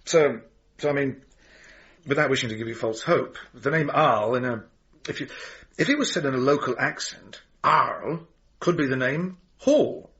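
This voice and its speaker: A man playing a helpful, fussy local records keeper, halting and muttering, in a naturalistic radio drama. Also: medium pitch, expressive, fairly bright tone, lightly acted. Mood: cautious, apologetic. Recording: dull lo-fi taped broadcast, hiss.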